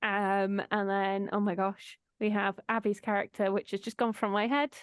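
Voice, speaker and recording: A woman hosts a loose, friendly-format chat in a middling pitch. She is informal and giggly, and swears freely. The sound is very dry, with hardly any room on it. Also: little pitch movement, normal speed, smooth, no echo. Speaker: host of an audio drama behind-the-scenes chat. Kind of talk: casual chat.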